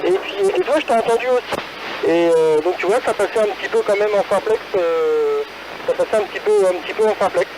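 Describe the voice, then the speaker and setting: A man's voice: high voice, brisk. A man chatting casually and hesitantly as an amateur radio operator, transmitting over a two-way radio from a car. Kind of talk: casual radio chat between hams. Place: in a car, mobile. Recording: very noisy ham radio relay transmission. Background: loud radio and vehicle noise.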